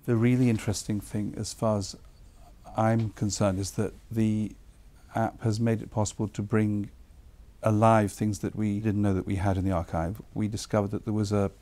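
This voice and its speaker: Male publisher talking it through, lowish mellow voice, restarts a lot as he thinks. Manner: thoughtful, repeats words while searching. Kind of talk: interview remarks.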